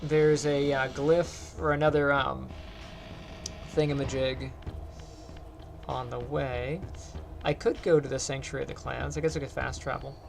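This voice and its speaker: A man with a light, higher-pitched voice, casually rambling to his chat as he plays a game and streams. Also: flat delivery, measured pace, vocal fry, long pauses, soft background music. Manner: rambling, chatty, talks to chat while playing. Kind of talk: casual gameplay commentary.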